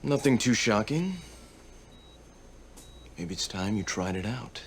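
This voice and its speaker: An actor playing a tough, sardonic officer and stern older brother in a video game cutscene, gruff and commanding. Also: male voice, fairly low pitch, lively intonation, takes it slowly, full character voice. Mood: gruff, instructing.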